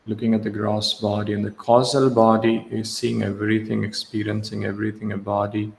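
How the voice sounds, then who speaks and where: A man leading a guided relaxation as a yoga instructor, speaking in short, measured instructions with long pauses, recorded in a reverberant room. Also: fairly low pitch, level tone, vocal fry. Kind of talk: slow guided meditation. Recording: echoey reverberant room, faint background hiss.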